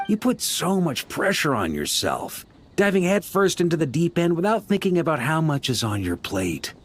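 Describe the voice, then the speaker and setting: An actor playing a jovial, easygoing knight grandmaster in an anime game, with a hearty, informal, teasing manner. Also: male voice, fairly high pitch, wildly swooping pitch, moderate pace, a little hiss or hum. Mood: reassuring, warm. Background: background music.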